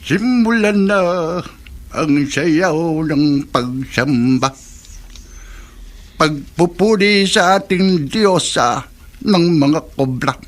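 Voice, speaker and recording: An actor playing a solemn cult priest in a Filipino horror radio drama, intoning an incantation with a Filipino accent. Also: male voice, fairly high pitch, animated, extremely slow, pauses often, faint music behind. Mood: solemn, reverent. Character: cult priest solemnly leading cobra goddess worship.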